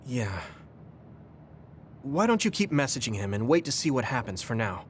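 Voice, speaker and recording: A man playing an earnest, caring young soldier in a video game, speaking sincerely and clearly. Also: medium pitch, very animated, moderate pace, slight background noise, natural voice. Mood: concerned, helpful. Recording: background music under dialogue. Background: game soundtrack music.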